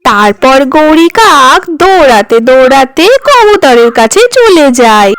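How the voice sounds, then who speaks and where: A woman's voice: high-pitched, sing-song, measured pace, fairly bright tone. A woman narrating a cartoon story for children in a sing-song storytelling way.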